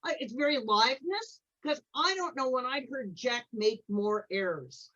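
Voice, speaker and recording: Female panelist, higher register, halting thoughtful chat, muffled lo-fi recording. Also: lively intonation, bright, forceful tone. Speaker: podcast panelist. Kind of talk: casual chat. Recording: dull, lo-fi call audio.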